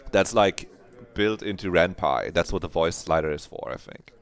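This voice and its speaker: A man's voice: deep voice, normal speed, smooth. A man streaming a visual novel, musing aloud in casual commentary that trails off.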